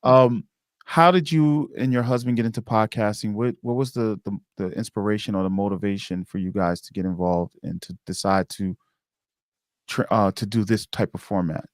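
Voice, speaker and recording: A man's voice: lowish voice, normal speed, dry recording, clean studio sound. A man hosting a podcast interview in a casual, informal way, feeling his way through questions.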